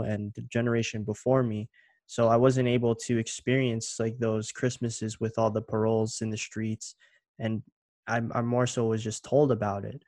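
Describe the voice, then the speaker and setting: A young man from Canada hosting a casual interview in a relaxed, hesitant way, with many "um"s and restarts. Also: fairly low pitch, dry recording. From Canada (Ontario). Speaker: interview host.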